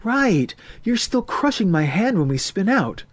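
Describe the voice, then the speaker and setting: A man voicing a young woman swing dancer who gives brisk, commanding orders. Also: high voice, sing-song, voice pushed up, heavily acted. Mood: bossy. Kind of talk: audiobook character voice.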